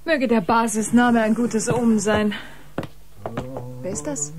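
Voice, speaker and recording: A woman's voice: on the high side, a little slow, background noise, natural voice. A woman playing a curious artist new aboard a spaceship, inquisitive and thoughtful, in a German audio drama. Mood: wondering.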